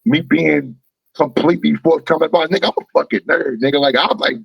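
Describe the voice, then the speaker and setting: A young man from Baltimore with a thick local accent, talking in an animated, emphatic way. His voice sits fairly high and comes through gravelly and husky, with a dry, close sound. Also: a little fast, dry recording, dull audio. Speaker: rapper, guest. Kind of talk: casual podcast conversation.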